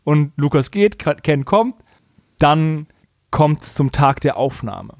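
A man's voice: fairly high pitch, lively intonation, takes it slowly, vocal fry, a little mellow, no room sound, clean studio sound. A man co-hosting a casual comedic recap podcast, slangy and mockingly acting out quoted dialogue. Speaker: podcast co-host.

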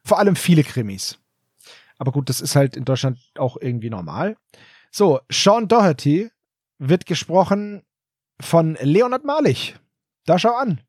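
Podcast host, man, higher-pitched, chatty and dry-humoured, dry close mic. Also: big pitch swings, a little slow, a little mellow, breathy edge, dry recording. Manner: chatty, hedging on names, dry jokes. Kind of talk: casual chat reviewing an audio drama.

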